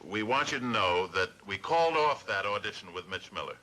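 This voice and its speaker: An actor playing a low-voiced earnest friend. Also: male voice, expressive, fairly quick, noisy background, natural voice. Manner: earnest, consoling. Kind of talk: 1960s sitcom acting.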